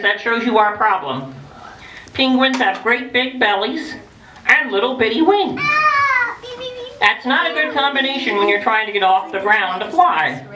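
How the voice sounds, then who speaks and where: Woman playing a high-voiced playful penguin storyteller. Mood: storytelling. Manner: teasing, asks questions, draws out dramatic pauses. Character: playful, hungry female penguin storyteller, fond of jokes. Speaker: storyteller for children. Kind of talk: interactive picture book reading to children. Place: echoey room with a group of children. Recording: roomy, distant, noisy live room recording.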